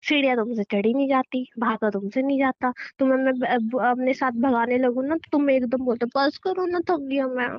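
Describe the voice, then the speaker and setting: A high, girlish voice in a dull, dry recording. A young woman acts a bossy girlfriend in a couple's phone-call drama. She teases and scolds her boyfriend with sarcasm, slipping English into her Hindi. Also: normal speed, hardly any pauses, dry recording, lightly acted. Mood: nagging. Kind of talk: acted phone-call conversation between a couple.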